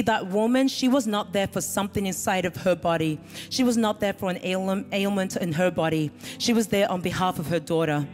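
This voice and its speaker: A woman's voice: mid-range voice, darkish tone. Woman preaching in a reverberant church hall. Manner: earnest preaching, rising and falling with emphasis. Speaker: pastor. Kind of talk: sermon. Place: large church stage with band behind. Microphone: handheld mic.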